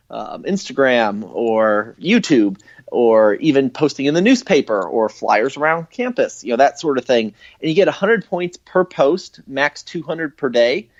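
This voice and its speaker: A man speaking as a guest on a radio show, explaining details informally and enthusiastically, with frequent halting fillers. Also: medium pitch, a little mellow, no room sound. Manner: hesitant, halting, filler-heavy delivery. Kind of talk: informal explanatory interview talk. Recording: dull, lo-fi call-in audio.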